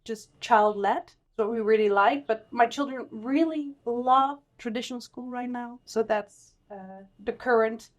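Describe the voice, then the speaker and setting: Chatty woman from Italy, a little high. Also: measured pace, hardly any pauses, lo-fi sound. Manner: warm, chatty, laughs easily. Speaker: guest, mother of three. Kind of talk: casual group podcast chat.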